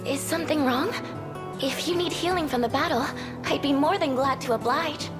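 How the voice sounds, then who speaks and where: A woman playing a gentle, courteous young cleric in a fantasy video game, with a sweet, caring manner. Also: sits high, moderate pace, music in the background, close to an everyday voice.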